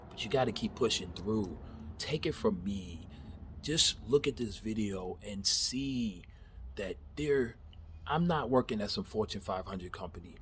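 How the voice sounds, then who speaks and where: Low-voiced man in his thirties rambling. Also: a little hiss or hum. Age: 30s. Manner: rambling, candid, laughs at himself, swears casually. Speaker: vlogger. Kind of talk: casual motivational monologue. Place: backyard.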